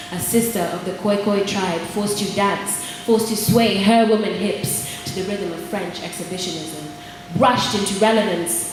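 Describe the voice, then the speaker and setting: A young woman from Kenya delivers spoken word poetry live, with measured, rhythmic phrasing and repeated lines. Her voice rings through a large, reverberant hall with a long tail. Steady room noise sits underneath. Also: fairly low pitch.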